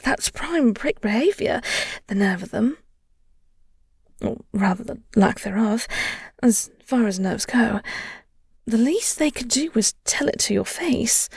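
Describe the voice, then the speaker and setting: A woman playing a flirty, tender vampire in an intimate audio roleplay, breathy and half-whispered, with a halting, teasing delivery. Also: on the high side, dry recording, clean studio sound, heavily acted. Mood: indignant, caring. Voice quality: breathy, husky. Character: flirty, gentle vampire nemesis comforting a heartbroken human.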